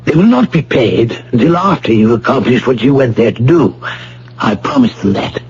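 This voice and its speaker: A man's voice: mid-range voice, expressive, darkish tone, a little hiss or hum, natural voice. Man playing a suave, menacing criminal mastermind on muffled radio. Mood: smooth, controlling. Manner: formal, deliberate, politely threatening. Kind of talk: vintage radio drama acting. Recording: muffled, humming old radio broadcast recording.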